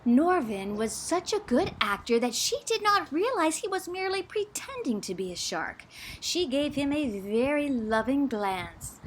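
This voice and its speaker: A woman reading a children's book aloud in a theatrical, expressive style, drawing words out for suspense. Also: high-pitched, measured pace, a little hiss or hum, low-quality recording. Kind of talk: dramatic, animated children's storytelling.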